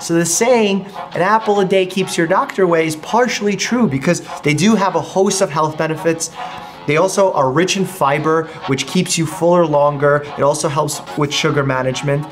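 A man's voice: fairly high pitch, moderate pace, soft background music. A young man in his twenties, a doctor hosting a casual food vlog from his home kitchen, explaining health benefits in an upbeat, easy way. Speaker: doctor and channel host.

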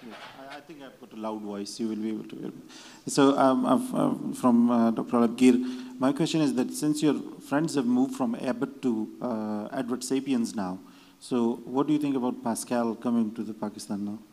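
A man's voice: medium pitch, steady tone, moderate pace, warm tone. A man in the audience asking a panelist a teasing question. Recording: long hall reverb.

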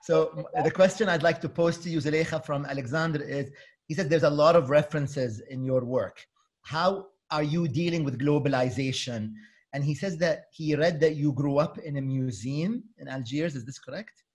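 A man's voice: on the high side, normal speed. A middle-aged man moderating a panel on a video call, politely reading out audience questions. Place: home study with bookshelves.

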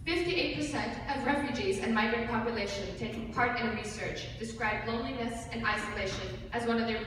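A woman's voice: a little high, a bit bright. A young woman from Iceland giving a formal, rehearsed student presentation, recorded from far off in a large hall. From Iceland, originally Kurdistan. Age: young adult. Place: large hall with seated audience. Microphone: far audience camera. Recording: echoey hall from far off, room noise.

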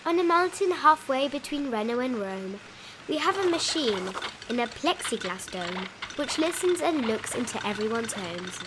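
A woman with a fairly high voice reads a children's picture book aloud over some noticeable background noise. She leans into the rhymes with an expressive, sing-song delivery, as a storybook reader. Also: normal speed.

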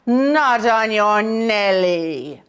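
A woman voicing a greedy, gloating male storybook wolf with drawn-out, theatrical menace. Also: mid-range voice, expressive, a bit bright, clean tone. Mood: greedy glee.

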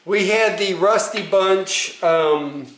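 A man's voice: high voice, slow pace, a bit bright. A middle-aged man bantering casually and boisterously, with salty language, in a home video. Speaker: beer taster on camera. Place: large open living room and kitchen at home. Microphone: handheld camera a few feet away. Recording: echoey room, clear background noise.

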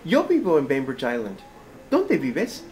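A man teaching Spanish, patiently quizzing a student and gently correcting her answers in a small school room. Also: mid-range voice, huge pitch swings, measured pace. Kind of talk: oral exam questioning.

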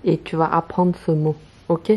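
A woman's voice: low-pitched, level tone, moderate pace, mellow, poor audio. A woman in her thirties from Tibet, a native Tibetan speaker, chatting casually as a family vlogger in a room at home. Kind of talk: casual vlog chat. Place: small bright room at home. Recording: faint background noise.

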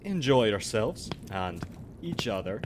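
An actor playing a cheerful, meddling male pirate crewmate in an ASMR roleplay, with a playful, drawn-out delivery. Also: medium pitch, noisy background. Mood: mischievous, cheerful. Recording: steady ambient sound effects behind voice.

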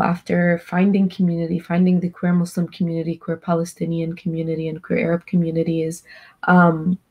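Earnest young woman, low mellow voice. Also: gravelly. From Egypt. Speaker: panelist. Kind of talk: panel discussion on video call. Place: small room indoors. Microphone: laptop webcam video call.

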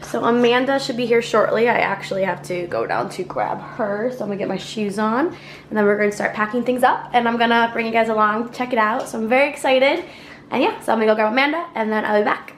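A woman's voice: fairly high pitch, expressive, quick pace, a little hiss or hum. A young woman in her twenties chatting casually in a food blog vlog, upbeat and full of "um"s, filmed on a phone at arm's length in her apartment. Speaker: food blogger. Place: small apartment, indoors. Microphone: phone camera held at arm's length.

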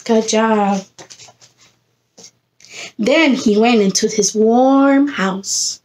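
A woman's voice: fairly high pitch, very slow, darkish tone, poor audio. A woman in her thirties, a preschool teacher reading a picture book aloud to young children, expressively, coaxing them with questions and prompts. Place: indoor room against a plain textured wall.

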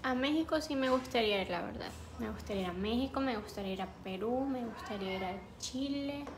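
A woman's voice: on the high side, quick pace, background noise. A young woman from Ecuador chatting casually with viewers on a livestream, reading out their names and answering them. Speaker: livestream host. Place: small room indoors. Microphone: phone on a ring light stand.

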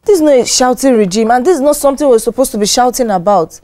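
An actress playing a calm, firm compound elder, speaking in a measured way. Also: female voice, high voice, background noise.

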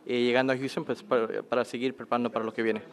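A man's voice: mid-range voice, little pitch movement, quick pace. A man working as a reporter, asking post-match questions piece by piece, with faint room noise.

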